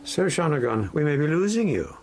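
An actor playing a haughty, sanctimonious palace priest in a radio drama, needling and moralising with a husky voice. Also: male voice, on the high side, sing-song, a little fast, slight background noise. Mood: sly needling.

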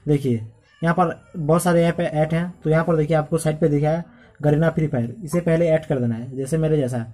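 A man's voice: on the high side, dark tone, breathy edge. A man narrating a casual step-by-step phone tutorial in a repetitive, instructional manner. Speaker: tech tutorial host.

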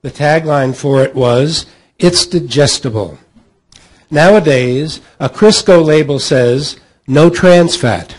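A middle-aged American man reads a prepared introduction at a panel table. His voice sits a little high for a man and has a noticeably husky grain. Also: takes it slowly. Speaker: panel moderator. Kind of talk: scripted introduction read aloud. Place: hotel meeting room at a convention. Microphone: table microphone on a stand.

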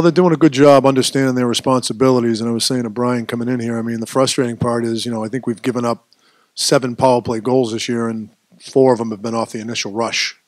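A middle-aged man as head coach at a postgame press conference, speaking at a table microphone in a press room, measured and dropping off at phrase ends, with many fillers. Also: mid-range voice, dry recording. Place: press room with sponsor backdrop.

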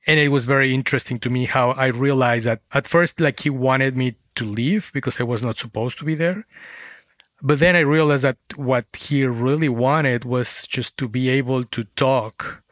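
A man's voice: medium pitch, moderate pace, fairly bright tone, no echo. A man, a Spanish speaker, telling stories reflectively as an interview guest. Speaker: guest author, former journalist.

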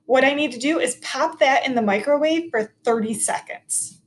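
A woman's voice: high voice, normal speed. Cheerful woman teaching kids to cook. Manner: cheerful, clear, instructive. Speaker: teacher hosting a kids' cooking video. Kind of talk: step-by-step cooking demo for children. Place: home kitchen, indoors.

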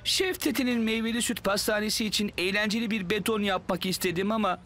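A man voicing an eager, fun-loving young talking digger in a children's cartoon, with an expressive way of speaking. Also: sits high, fast. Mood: glum. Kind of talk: animated children's cartoon dub.

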